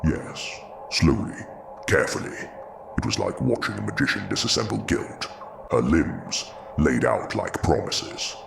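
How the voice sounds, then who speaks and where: Solemn creature, slow delivery, swooping intonation, brooding, gravelly, audio drama, noisy ambience. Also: male voice, deep voice, wildly swooping pitch, full character voice. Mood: haunted, mournful. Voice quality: gravelly, breathy at times. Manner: slow, solemn, terse phrases.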